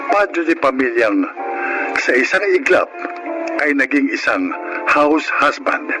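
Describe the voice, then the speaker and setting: A man narrating a radio drama as its announcer, formal and measured, reading a script. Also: medium pitch, big pitch swings. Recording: prominent music bed under voice. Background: music.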